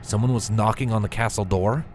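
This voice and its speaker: A man narrating a scripted story with a dramatic, expressive delivery. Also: fairly low pitch, a little fast, faint music behind.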